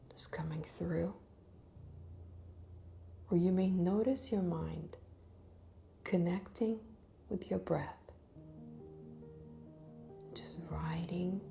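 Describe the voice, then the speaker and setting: A woman leading a guided visualization in a gentle, hypnotic way, with long pauses and soft phrase endings that trail off toward a whisper. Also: on the low side, gravelly, muffled recording. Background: background music.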